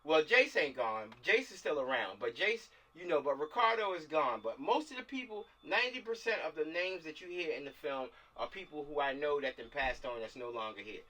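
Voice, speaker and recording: Guy leading a script read on a video call, slangy, bright voice, kinda far from the mic. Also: a little high, expressive, a little fast, few pauses. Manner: slangy, repeats himself for emphasis. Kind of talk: casual chat and directing actors.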